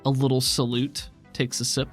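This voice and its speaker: A man runs a tabletop roleplaying game as its game master. He sets out scenes in measured, descriptive narration. His voice sits at a middle pitch, with music playing under it. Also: completely flat delivery.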